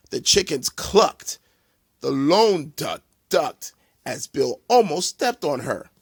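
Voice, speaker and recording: A man reading a children's storybook aloud in a deliberate, theatrical way for young listeners. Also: fairly high pitch, vocal fry, husky, no echo, clean studio sound. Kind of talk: animated storybook narration.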